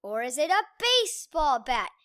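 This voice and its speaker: Plucky young boy hero, voiced by a woman, excitable, bright, high, storybook adventure. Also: moderate pace. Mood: wondering.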